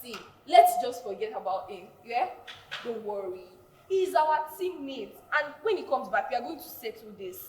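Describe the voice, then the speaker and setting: Actress doing a soothing girl teammate, high voice, echoey room. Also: normal speed. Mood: apologetic. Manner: soothing, tries to calm others. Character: peacemaking young female teammate.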